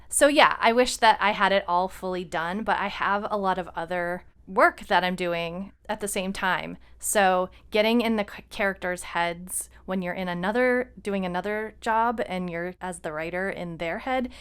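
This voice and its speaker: Clear-voiced woman podcaster, encouraging and informal. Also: mid-range voice, moderate pace, hardly any pauses, a bit bright. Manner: encouraging, informal advice-giving. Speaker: podcast co-host and fiction writer. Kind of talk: casual conversational podcast talk.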